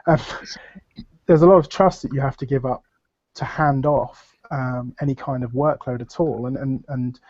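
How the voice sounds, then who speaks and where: A man's voice: mid-range voice, steady tone, moderate pace, a little mellow, no echo. A man with a nasal voice from a cold, talking in a rambling, hesitant way with many fillers on a casual video call. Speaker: panel guest. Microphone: computer microphone on video call. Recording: dull dry video call audio.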